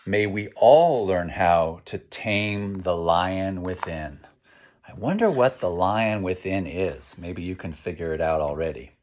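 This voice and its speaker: Slow-paced American man reading to children. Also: deep voice. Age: 50s. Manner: slow, expressive, encouraging, pausing to ask questions. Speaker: children's read-aloud host. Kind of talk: picture book read-aloud with questions to young listeners. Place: indoor room at home.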